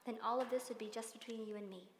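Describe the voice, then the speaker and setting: An actress playing a young, devout governess who speaks warmly and earnestly, in classic film musical style. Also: female voice, on the high side, fairly even intonation, fast talker, slight background noise, close to an everyday voice. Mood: gentle. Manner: warm and earnest. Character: young woman governess, cheerful, devout, motherly. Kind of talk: 1960s film musical acting. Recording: roomy reverb, faint film score.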